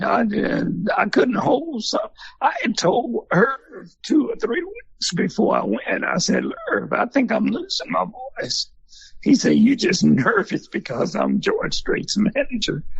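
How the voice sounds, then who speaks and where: Husky older man telling stories. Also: very high voice, unhurried, no echo, dull audio. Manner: folksy, animated storyteller. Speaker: museum founder and former country singer. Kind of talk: storytelling in an interview.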